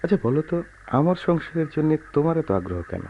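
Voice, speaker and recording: An actor playing a brooding, bitter, lovelorn man in a Bengali radio drama, speaking in a measured, melancholy, literary way. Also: a little high, big pitch swings. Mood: yearning, probing. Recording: dull lo-fi radio recording with noise.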